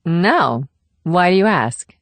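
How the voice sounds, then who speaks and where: A woman's voice: lowish voice, big pitch swings, slow pace, no echo, full character voice. A woman playing a kind but guarded government agent in a thriller audiobook. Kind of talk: audiobook character dialogue.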